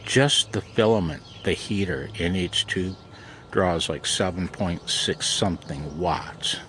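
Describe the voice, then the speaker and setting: A man with a gravelly voice chatting casually in a rambling, self-deprecating way for his vlog. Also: takes it slowly, fairly bright tone. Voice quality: low, gravelly. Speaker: amateur radio vlogger. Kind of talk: casual chat. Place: outdoors in a backyard garden and deck. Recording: outdoor background noise.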